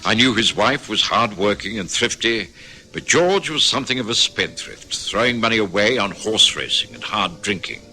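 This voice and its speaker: Grave doctor, bright forceful delivery. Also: male voice, lowish voice, expressive, soft background music, low-quality recording. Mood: reflective narrating. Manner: grave, measured, admonishing. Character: earnest caring male family doctor, gravely admonishing, narrating his memories. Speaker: actor and narrator in a radio drama. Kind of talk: old-time radio drama acting and narration.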